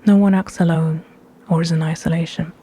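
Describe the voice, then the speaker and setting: A woman with a hushed, breathy voice guiding a meditation in measured, deliberate phrases with pauses between them. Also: sits low, steady tone. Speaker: meditation guide. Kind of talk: slow guided meditation narration.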